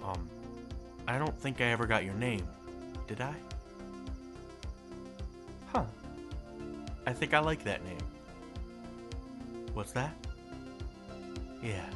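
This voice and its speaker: A man's voice: mid-range voice, lively intonation, slow pace, long pauses. An actor playing a kind, concerned young male neighbour in an audio roleplay, speaking in a hesitant, informal way. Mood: tender wonder. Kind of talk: naturalistic audio roleplay acting. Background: music.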